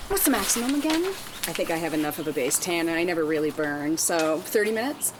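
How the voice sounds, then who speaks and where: A woman's voice: deep voice, big pitch swings, fairly quick. A woman playing a vain, self-conscious Californian customer in a script read-through, wry and a little hesitant. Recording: clearly noisy room recording.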